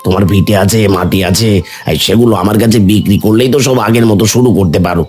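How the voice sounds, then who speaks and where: A man's voice: lowish voice, fast talker, darkish tone, soft background music, lightly acted. Man playing a sly greedy village moneylender. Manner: sly, coaxing.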